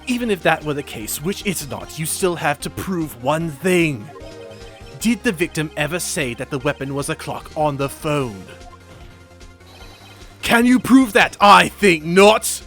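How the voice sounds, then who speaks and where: A man's voice: a little high, expressive, a little slow, fairly bright tone, soft background music. A man voicing a haughty, hot-tempered male prosecutor in a game voice-over, indignant, theatrical and emphatic. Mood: smug, challenging. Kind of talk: improvised video game voice-over.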